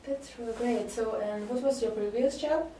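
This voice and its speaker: A woman's voice: a little high, moderate pace, warm tone. A woman acting as interviewer in a casual role-play job interview, asking questions with brief encouraging interjections. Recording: echoey room, mic at a distance, faint noise.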